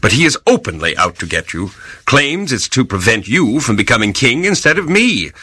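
A man's voice: mid-range voice, very animated, normal speed, sharp tone, breathy edge, dry recording, heavily acted. A man playing an earnest, loyal young prince in a biblical audio drama, speaking with theatrical emphasis. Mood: urgent, worried.

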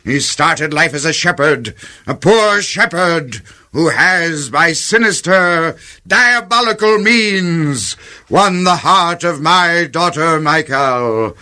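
A man's voice: fairly high pitch, animated, takes it slowly, bright, forceful tone, full character voice. A man playing a jealous, wrathful aging king in a biblical audio drama, declaiming imperiously and theatrically. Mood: furious, commanding.

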